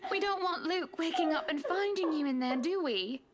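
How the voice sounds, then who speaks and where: A woman acts out a proper, commanding lady of a haunted manor for a game. The voice is very high and swoops with expression, over loud background noise. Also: expressive, moderate pace. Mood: coaxing. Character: imperious yet caring lady of a manor.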